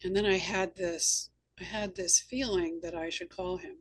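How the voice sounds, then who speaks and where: A woman's voice: lowish voice, steady tone, normal speed, slightly rough, rough recording. A woman hosting an interview, telling anecdotes in an earnest, emphatic conversational way. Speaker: host and novelist.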